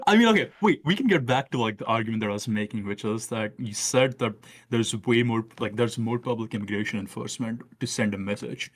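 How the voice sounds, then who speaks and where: A man in his late twenties with an Indian accent, sitting at an ordinary middle pitch. He debates heatedly in a casual, filler-heavy way, swearing and cutting in on the other speaker. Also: sing-song. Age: late 20s. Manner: fast filler-heavy talk, many "like"s, swears, interrupts. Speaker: caller debating the host.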